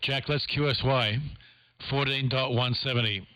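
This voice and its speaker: A man's voice: mid-range voice, fairly even intonation, normal speed. A man working as an amateur radio operator, talking casually in a two-way contact over shortwave radio. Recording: very noisy shortwave radio audio.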